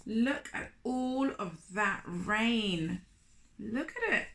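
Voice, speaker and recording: A woman reading a picture book aloud to young children, animated and speaking to them directly with questions. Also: on the high side, drawn-out words, vocal fry. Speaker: children's storyteller, host of a storytime series.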